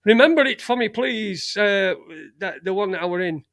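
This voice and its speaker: A man's voice: sits high, expressive, moderate pace, a bit bright, no echo, clean studio sound. A man in his forties with a Sheffield accent, hosting a live stream and chatting loosely to his chat room, swearing often and trailing off. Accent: Sheffield, Yorkshire. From England. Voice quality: chatty, swears freely, lots of fillers and restarts.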